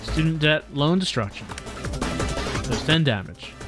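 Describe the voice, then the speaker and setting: A man's voice: a little high, expressive, measured pace. Wry male streamer reading over game music. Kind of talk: live visual novel read-along with wry commentary.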